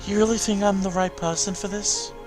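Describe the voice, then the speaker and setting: A man's voice: high-pitched, steady tone, fairly quick, music in the background, close to an everyday voice. A man voicing an earnest, questioning young male pupil from a video game.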